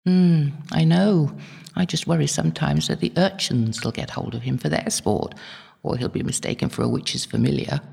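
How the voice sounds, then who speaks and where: An actress playing an elderly, devout medieval holy woman in an audio drama, gentle and wistful, musing hesitantly. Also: low-pitched, normal speed, gravelly, slightly rough, full character voice. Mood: fond. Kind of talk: audio drama acting, intimate prayerful monologue. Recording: long hall-like reverb, faint music behind.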